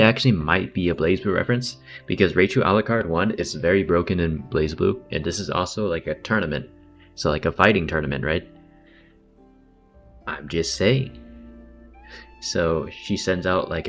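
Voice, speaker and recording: A woman's voice: very deep voice, normal speed. A woman giving casual, slangy, joking livestream commentary over a game, with some swearing. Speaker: game streamer. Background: faint game music.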